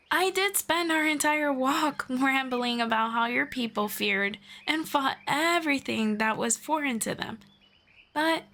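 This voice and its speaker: Girl doing a friendly elf lady for fantasy roleplay, kinda high voice, really slow n gentle, formal wording. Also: a little hiss or hum, full character voice. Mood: warm, apologetic. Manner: measured, gentle, formal storybook phrasing. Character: friendly, curious female elf of the forest, gently earnest. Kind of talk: roleplay audio drama, speaking one-on-one to the listener.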